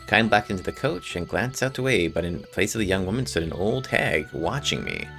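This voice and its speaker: A man's voice: low voice, very animated, fairly quick, gravelly, a bit bright. A man hosting a gameplay video, casually commenting and reading game text aloud in an informal way. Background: faint game music.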